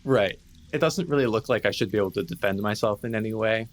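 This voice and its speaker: Low-voiced man chatting through a game. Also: fast. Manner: rambling, peppered with "like". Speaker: tabletop game player. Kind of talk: casual improvised roleplay chat. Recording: music playing behind the voice. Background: clear background music.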